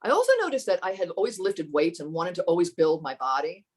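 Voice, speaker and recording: A woman with a fairly low voice telling her personal story as a podcast guest, thoughtful and earnest, often restarting her sentences. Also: big pitch swings, husky. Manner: thoughtful, halting, with many restarts.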